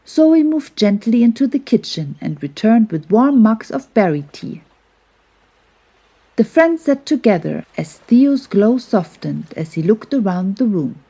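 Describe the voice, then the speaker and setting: Slow, mellow woman telling a bedtime story. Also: medium pitch, very animated, slightly rough. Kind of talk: gentle scripted bedtime story narration for children.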